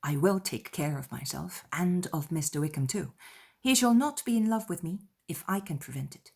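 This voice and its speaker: Woman as a young heroine, spirited, witty, emphatic, sincere, slightly low voice, audiobook dialogue. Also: animated, normal speed, creaky, darkish tone, husky, heavily acted. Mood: playful assurance. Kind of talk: dramatized audiobook character dialogue.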